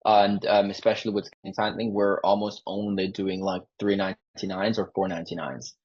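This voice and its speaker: Low-voiced salesman on a muffled call. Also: little pitch movement, fairly quick, darkish tone, husky. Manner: informal, peppered with "like". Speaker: sales closer for a marketing agency. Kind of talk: sales pitch on a live call. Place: online sales call with screen sharing. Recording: dull lo-fi call audio.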